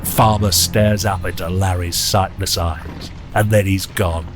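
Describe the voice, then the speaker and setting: A man playing a grave, theatrical storyteller, narrating a radio suspense drama in a measured, formal and deliberate manner. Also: low-pitched, steady tone. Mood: dramatic, suspenseful. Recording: loud music under voice.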